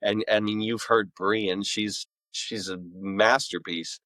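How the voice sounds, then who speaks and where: Animated, rambling man telling stories, dry sound. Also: lowish voice, takes it slowly, no room sound. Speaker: author and podcast guest. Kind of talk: animated casual anecdote telling.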